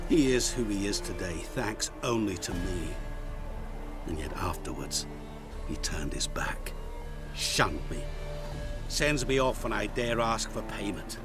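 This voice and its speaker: A suave video game merchant who hides a sinister streak. His voice sits on the low side and moves animatedly in pitch. He speaks with deliberate, theatrical courtesy, every phrase eloquent and sly. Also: lively intonation, over music. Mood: wistful, aggrieved. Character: suave, sinister male merchant with hidden powers. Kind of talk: video game character acting.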